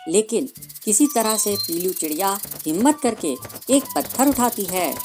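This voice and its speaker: A woman's voice: mid-range voice, animated, unhurried, over music. A woman narrating a scripted children's cartoon fable as storyteller.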